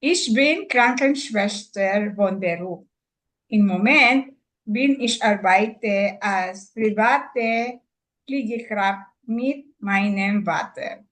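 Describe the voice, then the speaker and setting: A woman in her sixties with a Filipino accent and Tagalog as her first language, introducing herself haltingly as a student in a German class. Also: medium pitch, fairly bright tone. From Philippines. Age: 60s. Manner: halting, hesitant learner with many fillers.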